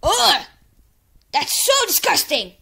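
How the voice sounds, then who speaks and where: Very high creaky animated female voice. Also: slow. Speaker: amateur animation voice performer. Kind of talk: exaggerated amateur animation character voice lines.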